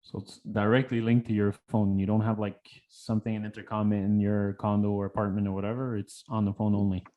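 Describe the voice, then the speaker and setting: A man's voice: fairly low pitch, level tone, brisk, hardly any pauses, phone-quality sound. A man co-hosting a podcast in a casual, conversational style. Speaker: podcast co-host. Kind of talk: casual, joking interview chat.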